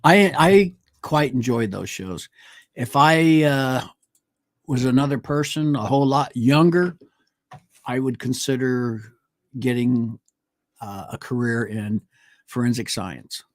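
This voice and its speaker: A man's voice: mid-range voice, takes it slowly, dry recording. A man co-hosting a casual talk show, speaking hesitantly with many fillers as he reaches for names. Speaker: co-host. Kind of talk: casual chat. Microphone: studio mic on a live stream.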